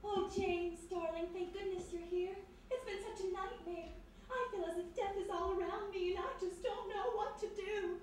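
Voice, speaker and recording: An actress playing a clinging, despairing society daughter with a theatrical, plaintive delivery in a live radio mystery drama. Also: female voice, very high voice, normal speed, darkish tone. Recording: very echoey hall, distant mic, faint noise.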